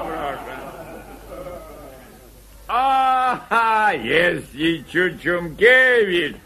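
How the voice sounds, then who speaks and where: A man's voice: sits high, sharp tone, full character voice. An actor playing a gushing, fussy party host who rambles through his welcomes in a radio comedy. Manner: gushing, rambling with filler words. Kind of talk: Polish stage comedy radio play acting.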